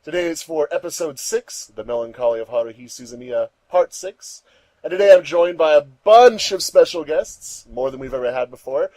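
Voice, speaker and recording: A man's voice: a little high, expressive, normal speed, a little mellow, dry recording, rough recording. A man hosting a fan commentary track, introducing things casually in an upbeat, announcer-like way with emphatic stresses. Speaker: host of a fandub commentary track.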